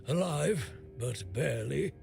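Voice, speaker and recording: An actor playing a bitter, blunt, middle-aged blacksmith in a video game, grumbling and weary with worry. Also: male voice, mid-range voice, big pitch swings, slow pace, gravelly, a little mellow, soft background music, full character voice. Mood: weary, worried. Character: gruff, bitter male blacksmith, worried for a stricken young man.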